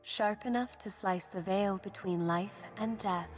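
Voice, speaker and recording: A serene female death spirit in lamb form, voiced by a woman for a game. Her delivery is cool, formal and poetic at an ordinary feminine pitch, with faint music underneath. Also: unhurried, lightly acted. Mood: ominous, calm. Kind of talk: video game character voice lines.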